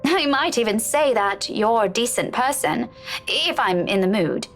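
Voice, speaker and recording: A woman's voice: fairly high pitch, animated, measured pace, soft background music. A woman voicing a blunt, teasing friend in a visual novel game, exasperated but caring. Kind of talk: dramatic visual novel voice acting.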